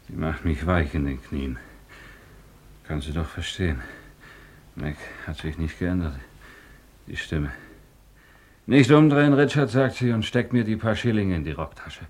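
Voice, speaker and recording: An actor playing a wistful, down-at-heel joiner who drinks, halting and pleading, in a 1950s German radio play. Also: male voice, sits low, a little mellow. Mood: wistful, tender. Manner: halting, pleading, swinging into boastful hope.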